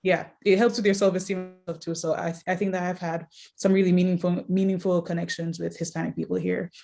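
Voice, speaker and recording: Low, even-toned young woman from Jamaica. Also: fast, gravelly. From Jamaica, later Mexico. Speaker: interview guest, social worker. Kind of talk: casual, reflective interview answers.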